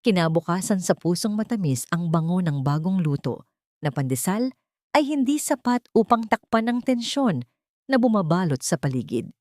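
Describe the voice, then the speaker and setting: Mellow, low-voiced woman narrating dramatic fiction. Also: big pitch swings, normal speed, dry recording, clean studio sound. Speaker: story narrator. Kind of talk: dramatic scripted first-person audio story narration.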